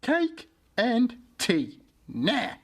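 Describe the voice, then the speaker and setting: A woman's voice: on the high side, huge pitch swings, drawn-out words, heavily acted. Wildly swooping wicked old witch. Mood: bossy. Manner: commanding, curt. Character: wicked old witch, bossy, greedy, menacing. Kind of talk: fairy-tale radio drama acting.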